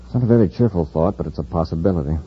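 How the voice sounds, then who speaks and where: An actor playing a steady, heroic space patrol commander in a 1950s radio drama, authoritative and reassuring, on a muffled, noisy recording. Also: male voice, deep voice, rapid-fire, lightly acted. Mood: steady, resolute.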